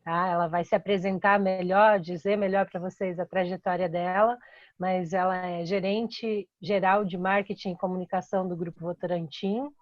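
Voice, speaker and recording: A woman's voice: fairly low pitch, steady tone, moderate pace, no echo, dull audio. A woman, a professor, introducing a guest speaker to her class over a video call. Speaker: professor and host.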